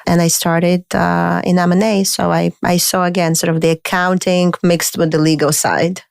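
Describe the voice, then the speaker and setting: Low-toned woman from Moldova, clear voice. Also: flat delivery, no room sound, clean studio sound. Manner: measured, explanatory storytelling with frequent restarts. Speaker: guest lawyer. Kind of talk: podcast interview.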